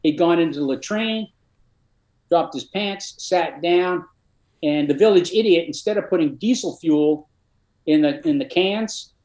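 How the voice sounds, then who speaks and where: An older man, a retired army colonel, telling war stories in a dry, matter-of-fact way with many pauses and fillers. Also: fairly high pitch, a little mellow, reverberant. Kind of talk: casual interview storytelling.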